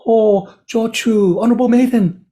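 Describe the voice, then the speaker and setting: A man's voice: very high voice, steady tone, moderate pace, dark tone, pitched above the performer's own voice. A man voicing a kind merchant who is terrified, pleading and stammering. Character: panicked kind male merchant, high and frantic.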